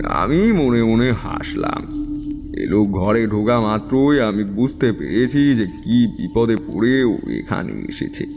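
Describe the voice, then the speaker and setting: An actor playing a shrewd, self-assured tantric fortune-teller, narrating and speaking with knowing authority in an audio drama. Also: male voice, fairly low pitch, a little mellow, a little hiss or hum. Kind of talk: dramatised first-person story narration.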